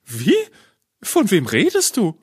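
Children's radio play: pompous, bossy tin knight, greedy, very animated delivery, rough husky edge. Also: male voice, high-pitched, slow, dry recording, studio quality, heavily acted. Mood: puzzled, sceptical. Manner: blustering, pompous, demanding. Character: vain, bossy, greedy male robot knight.

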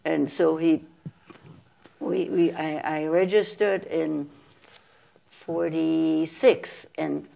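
An older woman, a guest in a small television studio, recalls her wartime flight as an animated storyteller who laughs often, speaking into a lapel mic. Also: deep voice, very slow, gravelly, long pauses. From Czechoslovakia. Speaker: interview guest. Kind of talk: personal war memories.

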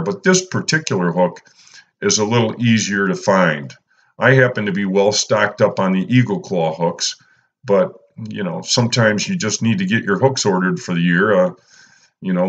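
A man, talking casually and unhurriedly through a fishing how-to as a channel host, filling pauses with "uh" and "you know". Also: lowish voice, muffled recording. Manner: laid-back, lots of "uh" and "you know". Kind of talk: casual instructional voice-over.

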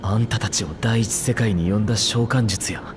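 A man voicing a composed, scholarly young cat-eared mage in a video game, serious and measured. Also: fairly low pitch, fairly even intonation, noisy background, natural voice. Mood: grave, pensive.

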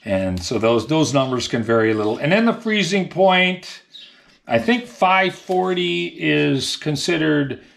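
A man's voice: fairly high pitch, expressive, measured pace, gravelly, fairly bright tone. A man in his thirties, a dairy farmer, explaining things in a casual, plain-spoken, folksy way in a small indoor work room. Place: small tiled milk room with steel tank, indoors.

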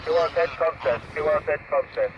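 A man's voice: a little high, fairly quick. A man working as an amateur radio operator, giving clipped contact reports through a two-way radio speaker. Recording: muffled, noisy shortwave-style radio reception.

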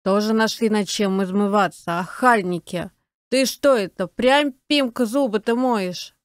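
An actress playing a sturdy, sharp-tongued peasant wife in a Russian audio drama, with scolding folksy speech. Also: female voice, mid-range voice, measured pace, no echo, studio quality, close to an everyday voice. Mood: indignant. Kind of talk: Russian audiobook radio-play acting.

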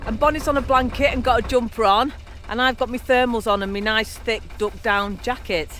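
A woman chatting casually to camera from a canal narrowboat, cheeky and quick to laugh. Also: on the high side, steady tone, a bit bright. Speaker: narrowboat vlogger. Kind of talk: casual vlog chat. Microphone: action camera at arm's length.

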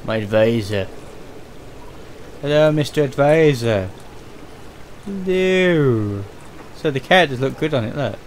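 A man narrates his gameplay in a casual, offhand way. His voice sits at an average pitch, with a faint layer of noise behind it. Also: animated, measured pace, long pauses. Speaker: gaming video host.

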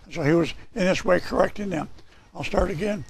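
Hoarse older preacher with a gravelly voice. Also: male voice, high voice, a little fast. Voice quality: raspy, gravelly. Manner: gesturing, reading scripture aloud from papers. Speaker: pastor. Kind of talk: sermon. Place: small church hall with red curtains.

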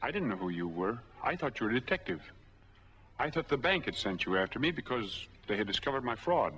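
An American actor playing a cornered, earnest middle-aged drifter in a 1940s film noir, reasoning and pleading. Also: male voice, mid-range voice, sing-song, fairly quick, a bit bright, husky. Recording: dull 1940s film soundtrack.

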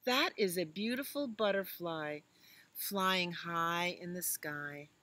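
Woman as a patient, slow-talking mother elephant. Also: lowish voice, pushed and bright. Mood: gently encouraging. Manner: patient, instructive. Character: patient, gentle mother elephant teaching her little one.

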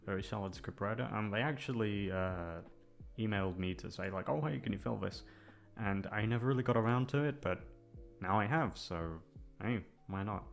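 Low-voiced man, hesitant casual ramble. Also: moderate pace. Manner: hesitant, meandering. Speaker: audio roleplay voice actor. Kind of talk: casual rambling outro to listeners. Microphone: close studio microphone. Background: music.

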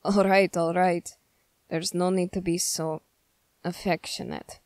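A woman voicing a stern, wary young male soldier in measured, formal, halting phrases for an ASMR roleplay. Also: on the low side, little pitch movement, a little mellow, no room sound, clean studio sound, natural voice. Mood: gently stern. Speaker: roleplay voice performer. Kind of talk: ASMR character roleplay addressed to the listener.

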